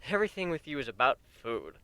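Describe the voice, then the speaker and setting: A man voicing a bashful, gentle male pony husband with a sheepish, hesitant manner in a storybook reading. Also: fairly high pitch, very animated, quick pace, clean tone, dry recording. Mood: wry, fond.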